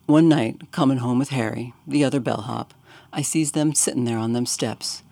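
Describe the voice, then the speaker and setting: A man's voice: mid-range voice, moderate pace, creaky, a little mellow, dry recording. A man voicing a young male bellhop with a Cockney accent, deferential and earnest, saying sir after nearly every phrase and dropping his g's. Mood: agitated.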